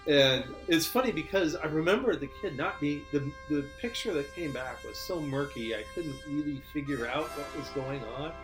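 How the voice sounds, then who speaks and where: A man's voice: a little high, lively intonation, moderate pace, hardly any pauses, music in the background, poor audio. A man in his fifties from Oregon, being interviewed and chatting animatedly about memories, laughing easily. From Oregon, USA. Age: 50s. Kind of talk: casual reminiscing.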